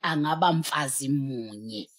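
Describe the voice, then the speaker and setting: A woman's voice: very deep voice, measured pace. A South African woman speaking as a pastor on a studio talk show, emphatic and exhorting, mixing in English. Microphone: headset.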